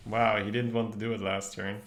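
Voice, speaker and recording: A man's voice: on the low side, level tone, fairly quick, fairly bright tone. A young man, a native Dutch speaker, giving casual live gameplay commentary, thinking aloud in slangy English as he streams. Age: young adult. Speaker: game streamer. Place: indoor room at a desk, streaming webcam. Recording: roomy echo, faint background noise.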